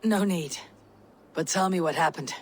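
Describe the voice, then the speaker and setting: Actress as Viking warrior woman, very low voice, stern, stoic, game drama, faint background noise. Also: normal speed, lightly acted. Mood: stern, probing. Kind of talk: dramatic video game acting.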